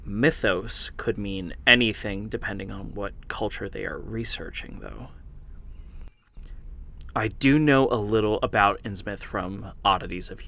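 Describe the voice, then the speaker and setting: An actor playing a weary, guarded researcher who dictates a deliberate audio log in a horror podcast. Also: male voice, lowish voice, a bit bright. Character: weary male researcher recording audio logs, guarded, brooding. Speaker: investigator narrating an audio journal.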